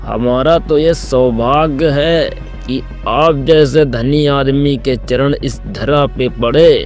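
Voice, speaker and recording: A man's voice: mid-range voice, slow, darkish tone, soft background music, lightly acted. A man playing a greedy, two-faced village headman in a Hindi cartoon moral story, with a fawning, theatrical manner.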